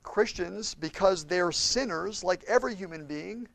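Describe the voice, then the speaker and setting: A man preaching a sermon with impassioned swings between loud and hushed delivery. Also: high voice, unhurried, gravelly, no echo. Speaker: pastor.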